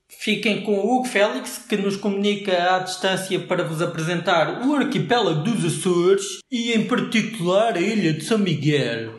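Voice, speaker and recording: A man's voice: sits high, reverberant. A man with a European Portuguese accent, co-hosting a comic podcast in a dry, mock-indignant tone. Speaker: podcast co-host. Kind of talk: scripted comic podcast segment.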